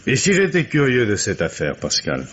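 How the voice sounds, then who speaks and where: Actor as a pushy investigator, lively rising and falling pitch, coaxing questions, vintage radio sound, faint hiss. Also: male voice, moderate pace. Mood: probing. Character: probing male investigator Monsieur Bourret, pressing a witness. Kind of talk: 1950s French radio drama acting.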